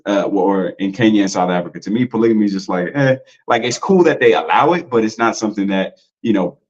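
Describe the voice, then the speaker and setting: A man's voice: fairly low pitch, quick pace, darkish tone, lo-fi sound. A man in a casual podcast talk, loose and slangy, full of "like" and "you know". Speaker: podcast guest.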